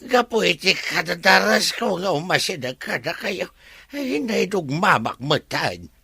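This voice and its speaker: Raspy, flustered old servant. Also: male voice, high voice, big pitch swings, pushed and bright, dry recording, studio quality, full character voice. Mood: flustered, apologetic. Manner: halting, whining, apologetic, full of ay and ah. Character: flustered, bumbling older male servant of a demanding madam. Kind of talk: Ilocano radio drama acting.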